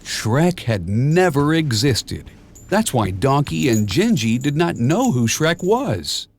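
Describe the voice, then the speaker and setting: A man reading a children's storybook aloud in a measured, expressive storytelling manner, with clear and simple phrasing. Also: mid-range voice. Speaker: storybook narrator. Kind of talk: scripted children's read-along storybook narration.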